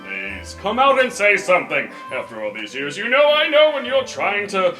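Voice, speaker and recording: A man's voice: sits high, huge pitch swings, normal speed, bright, forceful tone, faint music behind, voice pushed up, full character voice. A man playing a pompous, dim, boastful noble cleric with a love of pastries in an improvised tabletop game. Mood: exasperated. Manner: boastful, literal-minded.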